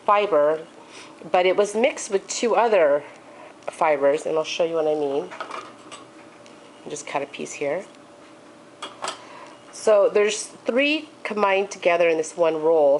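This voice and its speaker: A woman's voice: lowish voice, a little hiss or hum, poor audio. Congested woman hosting a craft tutorial. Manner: chatty, with frequent ums and okays. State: congested from allergies.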